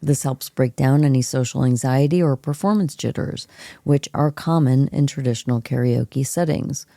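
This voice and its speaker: A woman co-hosting a podcast with a hoarse, froggy voice, reading an article aloud in a relaxed, unhurried way. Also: extremely low pitch, no room sound, clean studio sound. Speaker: co-host. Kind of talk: reading an article aloud, then casual chat.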